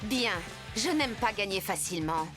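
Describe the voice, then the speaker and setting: A woman voicing a confident, competitive rival in an animated cartoon, taunting coolly. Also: mid-range voice, moderate pace, music in the background, lightly acted.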